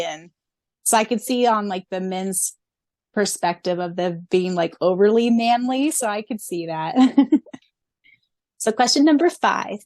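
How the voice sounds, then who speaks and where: A woman co-hosting a casual fan podcast, gushing and excitable, often laughing between lines. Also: mid-range voice, no room sound. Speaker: podcast co-host.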